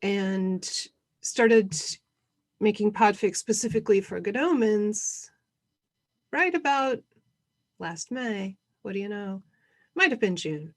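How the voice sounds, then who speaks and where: A woman co-hosting an online session, introducing things in an informal, slightly halting way. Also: medium pitch, expressive. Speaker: co-host presenting an online convention session.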